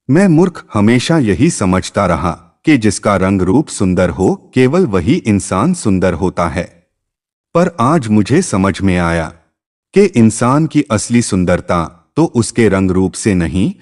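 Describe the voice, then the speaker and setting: Guy playing sorry husband in a story, begging forgiveness, drawn-out words, big reverb. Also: fairly low pitch, darkish tone. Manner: tearful, contrite. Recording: long reverb.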